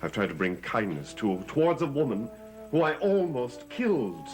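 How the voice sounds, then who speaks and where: An actor playing a haunted, earnest master of a gothic mansion in a dramatic soap opera. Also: male voice, medium pitch, big pitch swings, normal speed, a little mellow, soft background music, close to an everyday voice. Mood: grave, earnest.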